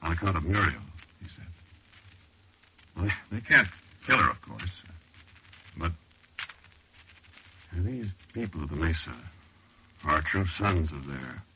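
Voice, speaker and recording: A very deep male voice as a weary, haunted man telling a horror tale. He is measured and intimate yet hard-edged, in vintage radio style, on a dull recording with faint music. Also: sing-song, sharp tone, slight background noise, heavily acted. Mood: resigned. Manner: measured, intimate storytelling with pauses. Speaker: narrator and star. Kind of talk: 1940s radio horror first-person narration.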